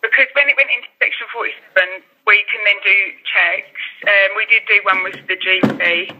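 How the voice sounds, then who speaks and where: A man with a distinctly high voice works through a phone conversation as a social worker. He explains things procedurally, with halting phrasing. The line is dull and lo-fi. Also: steady tone, a little hiss or hum.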